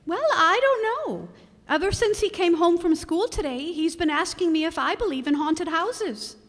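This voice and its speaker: A woman's voice: extremely high pitch, expressive, normal speed. A woman playing a chatty, matter-of-fact housewife and mother in an old-time radio comedy. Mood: chatty, unbothered. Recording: reverberant hall stage recording.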